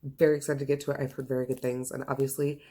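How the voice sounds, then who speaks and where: Woman, low voice, casual book reviewer, enthusiastic, gushing, emphatic phrasing, chatty vlog delivery. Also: level tone, very fast, dark tone, husky.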